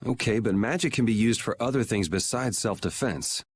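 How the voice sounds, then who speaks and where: A man's voice: fairly low pitch, moderate pace, husky. An actor playing a casual, cool-headed young swordsman in a game, with a wry, laid-back manner. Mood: curious, wry. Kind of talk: English game dub acting.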